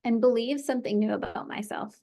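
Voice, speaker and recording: A woman coaching a client through tapping, speaking in an encouraging tone and calling out phrases for her to say back. Her voice carries a noticeable gravelly fry. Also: fairly high pitch, brisk, warm tone. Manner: encouraging, leads phrases for repeating. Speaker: tapping practitioner. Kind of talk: guided tapping session.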